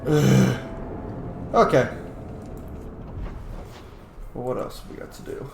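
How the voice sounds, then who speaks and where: A man's voice: medium pitch, steady tone, slow, gravelly, noisy background. A man giving casual, sweary video game commentary, reacting aloud as he plays. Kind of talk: casual let's-play commentary. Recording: roomy echo, game music behind. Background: video game music.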